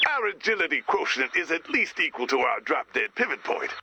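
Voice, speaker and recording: A man voicing a slick, pompous corporate director spouting business jargon in a video game, gravelly and husky. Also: fairly high pitch, very animated, a little fast, sharp tone, highs cut off, full character voice. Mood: smarmy, intense. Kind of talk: video game character voice lines.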